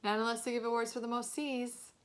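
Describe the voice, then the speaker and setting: A woman's voice: on the high side, level tone, fairly quick, pitched above the performer's own voice, close to an everyday voice. A woman reader voicing a casual, teasing fifth-grade boy with slangy, offhand delivery in a children's story. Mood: wry. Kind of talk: children's audiobook-style read-aloud.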